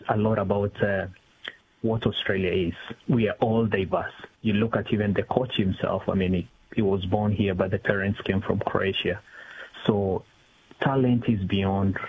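A middle-aged man giving spontaneous interview answers, haltingly, repeating words as he thinks. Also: fairly low pitch. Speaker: interview guest, community executive officer. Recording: tinny phone line with hiss.

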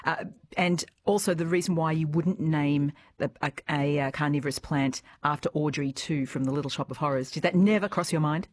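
Radio host, woman, Australian accent, lower register, conversational interviewing style. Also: fairly quick, a little hiss or hum.

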